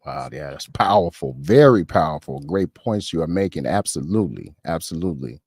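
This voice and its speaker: A very low-pitched American man hosts a conversational interview. His voice is close and dry, and his manner is affirming and agreeable. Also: expressive, a little fast, vocal fry, a little mellow, slightly rough, no echo. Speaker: interview host.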